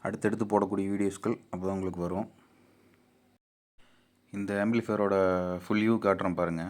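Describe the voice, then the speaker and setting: A man's voice: fairly low pitch, fairly even intonation, a little fast, darkish tone. A man with a Tamil Nadu accent giving an informal, plainly explained product walkthrough as an amplifier builder addressing his viewers. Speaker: amplifier builder and repairer presenting his video channel.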